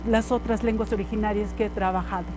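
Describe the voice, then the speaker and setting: A woman's voice: mid-range voice, steady tone, fast talker, over music. A woman from Oaxaca whose first language is Mixtec, speaking earnestly as a guest on a radio interview. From Oaxaca, Mexico. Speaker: guest singer.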